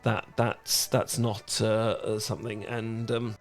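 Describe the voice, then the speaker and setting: A man's voice: fairly low pitch, level tone, gravelly. A man playing an awkward, anxious, smitten British dork in a romantic ASMR roleplay, stammering and apologetic as he goes. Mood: sheepish, nervous. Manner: stammering, flustered, halting, apologetic.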